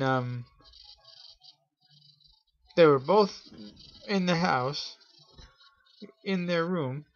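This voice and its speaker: A man hosting a show and improvising a spooky story for his viewers, speaking haltingly in short phrases with pauses. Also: mid-range voice, a bit bright, highs cut off. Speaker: host telling a scary story.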